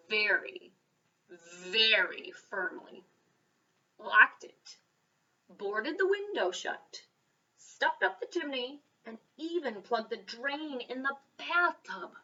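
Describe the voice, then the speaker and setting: A woman reading a children's story aloud as a school reader, expressive, with dramatic emphasis and pauses. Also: on the high side, vocal fry, distant mic, dull audio.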